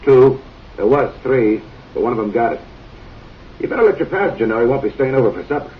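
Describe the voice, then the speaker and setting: An actor playing a stern, suspicious frontier sheriff who gives curt, gruff orders, heard on muffled old film audio. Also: male voice, medium pitch, lively intonation, fast talker, distant mic, slight background noise, natural voice.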